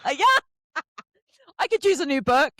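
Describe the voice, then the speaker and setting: A middle-aged woman, hosting a casual, chatty interview and telling self-deprecating stories in an animated way. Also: high voice, takes it slowly, dry recording, studio quality. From England. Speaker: podcast host interviewing an author.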